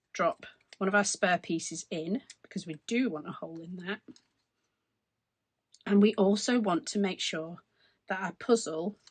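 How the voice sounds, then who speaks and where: A woman in her forties explains a craft project at her desk, her voice husky and hoarse as if it is fading. She sits a touch low in pitch and talks in a chatty, step-by-step way. The sound is close and dry. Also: no room sound. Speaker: craft tutorial host. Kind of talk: casual step-by-step crafting demonstration. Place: craft desk indoors, overhead camera.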